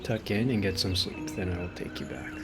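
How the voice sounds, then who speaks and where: A man's voice: low voice, brisk. A man reading a video game's story aloud as a streamer, with casual asides. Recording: loud game music behind the voice. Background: loud game music.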